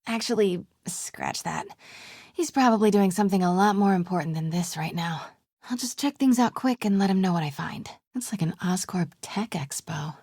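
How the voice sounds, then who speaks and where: Young female reporter, video game heroine, determined, wry, breathy, often hushed, dry close studio sound. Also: mid-range voice, moderate pace, no room sound. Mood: curious, hushed. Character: determined young woman reporter, sneaking and sleuthing. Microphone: close, dry studio mic.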